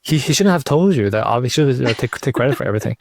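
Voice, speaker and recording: A man hosting a casual interview, speaking informally and haltingly, often repeating words as he goes. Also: mid-range voice, animated, creaky, darkish tone, dry recording, clean studio sound. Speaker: podcast host interviewing a guest.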